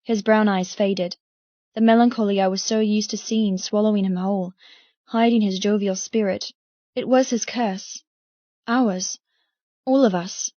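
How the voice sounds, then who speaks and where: A woman's voice: medium pitch, steady tone, a little slow, warm tone, no room sound, dull audio. A woman reading first-person dramatic fiction as an audiobook narrator.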